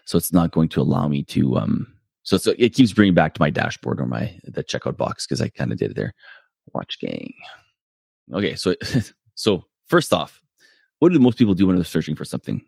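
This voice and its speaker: A man with a very low, deep voice hosts his solo podcast in a casual, rambling way. He stops and restarts often, fills gaps with "um" and pokes fun at himself. The sound is dry and close, with no room around it. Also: big pitch swings, fairly quick, gravelly, a little mellow, husky, no room sound. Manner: rambling, self-deprecating, many fillers and restarts. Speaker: podcast host.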